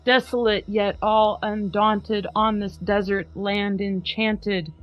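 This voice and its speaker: A woman reads verse aloud with slow, deliberate emphasis, drawing each line out. The audio is dull and lo-fi, like a video call, with faint music beneath her voice. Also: medium pitch, fairly even intonation. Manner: slow, emphatic dramatic reading. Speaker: podcast host. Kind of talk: dramatic poetry reading.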